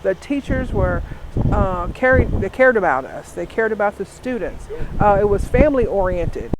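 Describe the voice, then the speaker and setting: A man's voice: high voice, lively intonation, normal speed. An older man reminiscing informally as an interviewee. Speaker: interviewee, former student. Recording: noisy outdoor wind and traffic.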